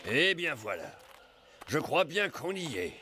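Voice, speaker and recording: A man's voice: fairly high pitch, very animated, measured pace. A man playing a scheming, bossy animal trapper in a French cartoon, with a theatrical, sardonic manner. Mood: sly, menacing. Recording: loud music under the voice.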